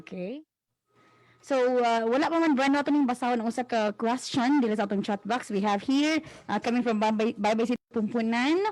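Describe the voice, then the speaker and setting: Higher-voiced Filipino woman co-hosting. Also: a little fast, darkish tone, no room sound, rough recording. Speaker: co-host, moderator. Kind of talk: live co-hosting, echoing and reading questions.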